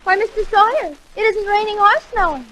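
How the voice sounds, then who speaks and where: A woman's voice: extremely high pitch, a little fast, bright, forceful tone. An actress playing an earnest, naive teenage girl in a 1940s radio comedy. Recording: faintly noisy 1940s radio recording.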